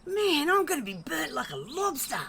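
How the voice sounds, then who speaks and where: A woman's voice: sits high, very animated, normal speed, pushed and bright, heavily acted. A woman voicing a brash, complaining animal companion in an audio drama.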